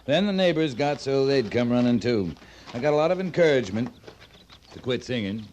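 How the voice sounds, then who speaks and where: Man acting a laconic, deadpan cavalry captain. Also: medium pitch, fairly quick, slight background noise, lightly acted. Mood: dry, easygoing. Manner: deadpan, understated, folksy. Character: laconic, drily humorous frontier cavalry captain. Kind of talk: 1950s radio western acting.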